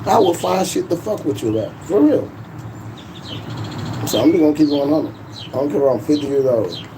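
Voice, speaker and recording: A man's voice: a little high, animated, brisk, noisy background. A man, hosting a casual livestream in a boastful, profane, laughing way. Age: 40s. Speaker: YouTube livestream host.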